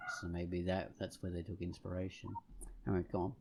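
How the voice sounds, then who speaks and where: Male podcast co-host, lowish pitch with plenty of vocal fry, conversational, musing in loose phrases. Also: completely flat delivery, brisk, darkish tone, slight background noise. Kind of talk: casual discussion.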